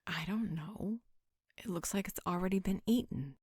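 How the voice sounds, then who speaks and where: A woman performs a playful, loyal mother who loves to tease in a roleplay audio drama. The voice sits slightly low with a breathy, husky edge. The words come slowly, in a dry, close sound. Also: a little mellow, dry recording. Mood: wry. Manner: playful, teasing.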